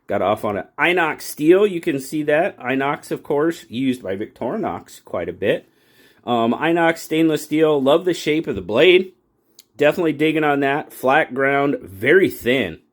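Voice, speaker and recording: A man's voice: fairly high pitch, lively intonation, normal speed. A man hosting his own channel and reviewing a pocket knife in a casual, folksy way, rambling and restarting his sentences often. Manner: rambling, folksy, self-correcting with frequent restarts. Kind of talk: casual product review talk.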